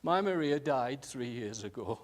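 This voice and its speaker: Tender old widower, played by a man: drawn-out, halting delivery, warm nostalgic chuckles. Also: mid-range voice, lively intonation, natural voice. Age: older. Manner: slow, halting, chuckling, says "aye" often. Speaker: nursing home resident.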